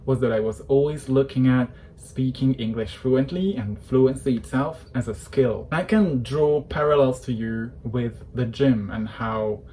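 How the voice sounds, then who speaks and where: Man in his thirties, coach delivering a fired-up persuasive rant, mellow tone, faint hiss underneath. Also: mid-range voice, a little slow, few pauses, poor audio. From Hungary. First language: Hungarian. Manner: passionate, persuasive, opinionated. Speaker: English coach. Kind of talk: direct-to-camera talk.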